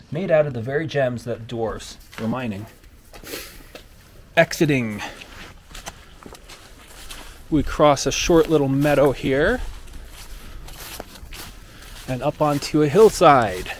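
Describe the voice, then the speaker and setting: A man's voice: mid-range voice, slight background noise. A man giving a playful walk-through of a decorated outdoor trail at night, narrating in a theatrical storybook manner while holding the camera.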